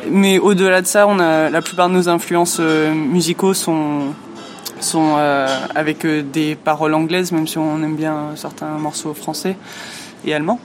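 A woman's voice: deep voice, fairly even intonation, normal speed, over music. A woman whose first language is English, a musician answering interview questions hesitantly, with many fillers and restarts. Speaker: musician in a duo. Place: lives in France.

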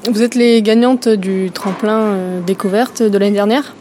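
A woman interviewer asking brisk questions, heard from farther off than the mic. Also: medium pitch, steady tone, warm tone, slight background noise.

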